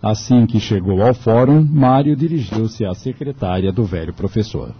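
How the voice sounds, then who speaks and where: A man's voice: on the low side, expressive, moderate pace. A man reading scripted narration for a radio drama. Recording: dull, lo-fi radio recording, music behind. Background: faint music.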